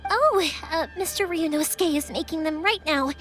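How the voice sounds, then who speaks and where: A woman voicing a spirited young fireworks-maker in a game, earnest and caring. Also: extremely high pitch. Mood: gentle. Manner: earnest and caring, quick to worry. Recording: game dialogue over loud music.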